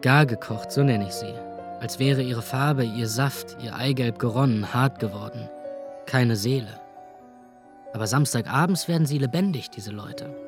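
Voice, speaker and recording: A man plays a sardonic writer who narrates his own days for a radio drama. His voice is mid-pitched and dry. He sounds cutting and scornful, with faint music underneath. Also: normal speed. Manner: sardonic, scornful inner monologue. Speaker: writer narrating in first person.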